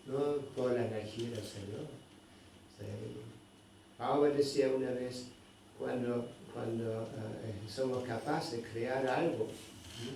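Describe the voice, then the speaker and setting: Mellow-voiced man teaching in an echoey hall. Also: medium pitch. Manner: searching for words, constant "¿no?" tags. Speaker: spiritual teacher. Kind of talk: scripture class. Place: indoor temple hall. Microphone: microphone at a distance. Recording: very echoey hall, distant mic, faint noise.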